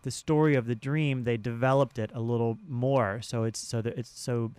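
Clear-voiced man in an interview, halting delivery. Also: medium pitch, fairly even intonation, a little mellow, dry recording. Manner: halting, with repeated words and restarts. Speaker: sound designer and guest. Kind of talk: conversational interview.

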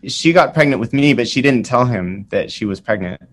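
A man in his forties, born in Vietnam, speaking as a physician guest and telling his family story in a relaxed, conversational way. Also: medium pitch, a little fast. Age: 40s. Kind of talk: conversational interview.

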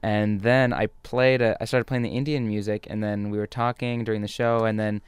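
A man's voice: lowish voice, little pitch movement, brisk, smooth, no echo. A young man from California with an American accent, chatting informally and ramblingly as a radio guest. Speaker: guest, trainee DJ. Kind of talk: casual interview chat. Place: radio studio.

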